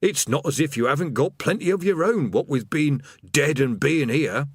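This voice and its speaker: A man's voice: lively intonation, moderate pace, no echo, clean studio sound. A man voicing a put-upon, mournful demon gatekeeper who grumbles like a tired petty official, in an audiobook story. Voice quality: rasping, rumbling. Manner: plodding recited customer-service patter breaking into chatty working-class grumbling.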